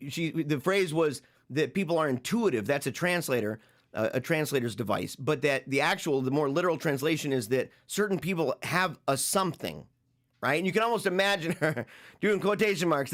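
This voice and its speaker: Host, male, forties, fairly high voice; unscripted, meandering reflection with restarts. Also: fairly bright tone. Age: 40s. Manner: rambling, halting, repeats phrases while thinking aloud. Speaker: podcast host discussing a book. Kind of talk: reflective solo commentary.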